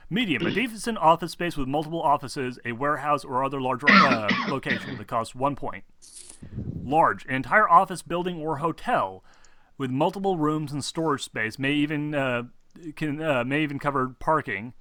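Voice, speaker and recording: A man acting as game master, casually explaining tabletop game rules in a halting, stumbling, sweary way. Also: on the high side, sharp tone.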